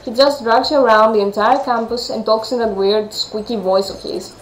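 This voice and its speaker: Woman voicing a bubbly, high-pitched chatty schoolgirl. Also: steady tone, normal speed, reverberant, slight background noise, natural voice, low-quality recording. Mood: chatty, confiding. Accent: Greek. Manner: chatty, gushing. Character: bubbly, eager, fast-talking teenage schoolgirl roommate.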